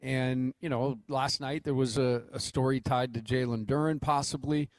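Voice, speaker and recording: Dry, close-miked American sports co-host. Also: male voice, mid-range voice, fairly even intonation, normal speed, no echo. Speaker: radio co-host. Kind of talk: casual sports talk.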